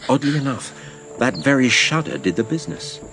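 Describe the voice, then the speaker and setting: A man's voice: mid-range voice, very animated, a little fast, creaky, a bit bright, faint music behind. A man playing a grown adventurer recounting his boyhood exploits, in theatrical, literary scripted narration. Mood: gripping, reflective. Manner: theatrical storytelling.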